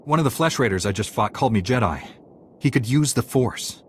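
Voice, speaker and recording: An actor playing an earnest, resolute young Jedi apprentice in a video game, speaking in a serious, firm way. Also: male voice, medium pitch, expressive, brisk, slight background noise, natural voice. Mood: serious, questioning. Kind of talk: video game cutscene acting.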